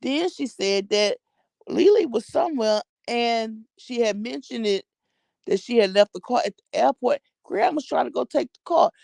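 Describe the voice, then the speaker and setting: A woman's voice: fairly high pitch, lively intonation, normal speed, vocal fry, a little mellow, dry recording. An American woman giving casual gossip commentary, blunt and slangy with plenty of swearing, repeating phrases for emphasis. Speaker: vlogger commenting on social media personalities.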